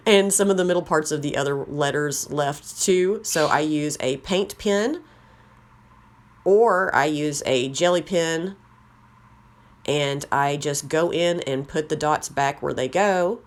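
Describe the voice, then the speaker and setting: A woman with a slightly raspy voice, chatting casually as she walks through a craft tutorial. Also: low-pitched, slow pace. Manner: chatty, rambling, self-deprecating. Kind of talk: casual how-to narration.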